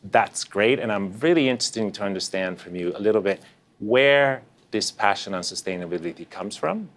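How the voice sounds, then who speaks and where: A man hosting a podcast interview, explaining in a measured way with frequent pauses and restarts. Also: fairly low pitch, a bit bright. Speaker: podcast host.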